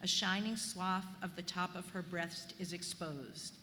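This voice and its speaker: A woman's voice: lowish voice, measured pace, bright, forceful tone. A woman reading stage directions as scripted narration at a staged play reading. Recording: reverberant hall, faint noise.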